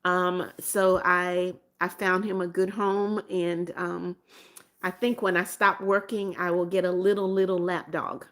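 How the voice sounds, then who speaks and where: A woman's voice: lowish voice, level tone, a little slow, fairly bright tone. A woman in her seventies hosting a casual livestream. She reads viewer comments aloud and answers them with frequent ums and restarts, and she often repeats her phrases. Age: 70s. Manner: calls herself Mommy Beth and repeats phrases. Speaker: livestream host. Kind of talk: casual live-stream chat.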